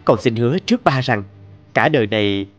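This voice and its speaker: A man voicing a devoted, romantic young boyfriend in an animated drama, speaking earnestly, tenderly and politely. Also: mid-range voice, fairly quick, lo-fi sound, faint music behind, natural voice. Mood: devoted, solemn.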